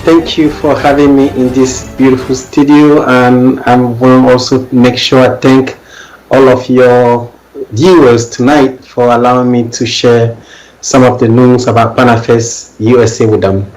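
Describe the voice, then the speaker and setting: A man's voice: mid-range voice, little pitch movement, unhurried, darkish tone, faint music behind, poor audio. A middle-aged man from Cameroon, a native French speaker with a Cameroonian accent, answering questions as an interview guest. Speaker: interview guest, event organizer.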